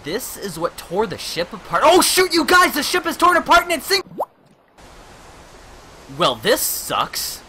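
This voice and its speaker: A man voicing a snarky, bright-toned hero. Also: high voice, a little hiss or hum, full character voice, poor audio. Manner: snarky, wisecracking. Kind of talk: fan-made parody cartoon dub.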